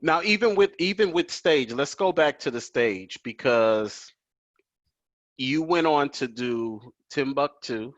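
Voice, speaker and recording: A man's voice: mid-range voice, animated, slow. A male host speaking in an ordinary middle register, admiring and deferential toward his guest. His voice comes through a dull, slightly lo-fi call line. The sound is dry, with no room echo. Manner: admiring, deferential, says "yes, ma'am". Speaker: talk show host. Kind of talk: remote video interview. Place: at home. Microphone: video call.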